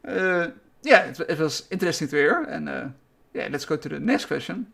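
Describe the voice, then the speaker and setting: A man's voice: on the high side, very animated, moderate pace, creaky, fairly bright tone. A man with a Dutch accent interviewing a guest, asking questions hesitantly with many fillers. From Netherlands.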